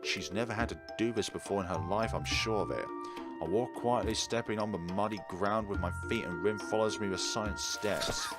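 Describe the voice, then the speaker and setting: A man's voice: on the low side, normal speed. A man hosting a game playthrough, reading story text aloud, acting out dialogue and adding his own commentary. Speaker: gaming video host. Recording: loud music behind the voice. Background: game music.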